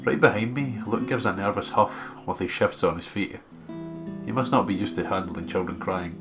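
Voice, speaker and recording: A man's voice: on the low side, normal speed. A Scottish man doing a let's play, reading visual novel narration aloud with some casual commentary. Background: guitar music.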